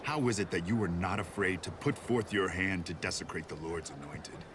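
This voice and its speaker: An actor playing a grave, stern warrior leader in a dramatised audio Bible, speaking solemnly and deliberately. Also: male voice, sits low, natural voice. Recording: clearly audible background noise and ambience.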